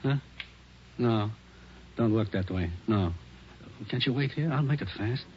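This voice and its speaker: A man's voice: medium pitch, unhurried, close to an everyday voice. A man in a 1940s radio drama playing a shady, eager street peddler with pushy sales patter in clipped phrases. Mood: hesitant, bargaining. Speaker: actor. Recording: muffled, noisy old radio recording.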